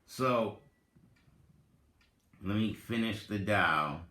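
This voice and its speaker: A man giving a dramatic reading with spoken commentary, deliberate and halting, in short phrases. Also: on the low side, pushed and bright. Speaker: reader, content creator. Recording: roomy, echoing voice recording.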